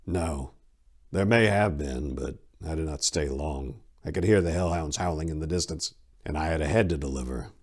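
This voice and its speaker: A man's voice: very deep voice, moderate pace, a little mellow. A man voicing a grim, terse warrior monk who hunts vampires, blunt and matter-of-fact, in a fantasy audiobook. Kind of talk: dramatic fantasy audiobook reading.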